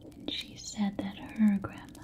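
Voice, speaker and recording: A woman telling stories as an ASMR host in a gentle, hushed whisper with long pauses. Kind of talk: ASMR whispered bedtime storytelling.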